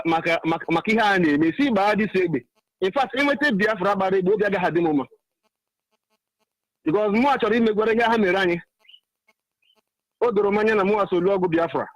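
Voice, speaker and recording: Nigerian igbo guy calling a radio show, pretty high voice, really emphatic, no room echo. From Ebonyi State, Nigeria. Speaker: radio phone-in caller. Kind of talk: impassioned political phone-in monologue.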